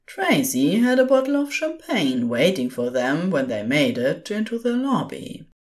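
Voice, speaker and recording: Low-voiced, expressive woman storyteller. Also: measured pace. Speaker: narrator. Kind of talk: scripted fan fiction narration.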